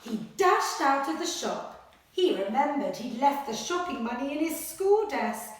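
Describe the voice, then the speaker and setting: A woman primary school teacher speaking to children and reading a picture book aloud in a dramatic, expressive way. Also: high voice. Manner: dramatic, expressive, with gasps and suspenseful pauses. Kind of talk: animated children's story reading and lesson. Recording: very echoey room, slightly distant mic.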